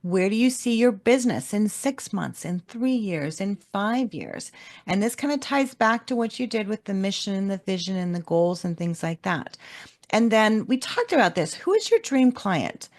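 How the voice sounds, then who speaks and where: A woman co-hosting a business webinar, explaining homework questions in a calm, measured teaching manner. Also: mid-range voice. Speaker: webinar co-host, leadership coach. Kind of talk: instructional webinar talk.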